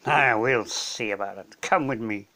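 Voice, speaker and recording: A man voicing a suspicious wolf guard in a storybook, snarling and gruff. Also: lowish voice, a little slow, pushed and bright, voice pushed down.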